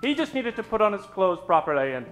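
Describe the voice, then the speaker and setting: A man's voice: high-pitched, big pitch swings, fast talker, a bit bright. Man narrating radio comedy over music. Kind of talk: storytelling narration for old-time radio comedy.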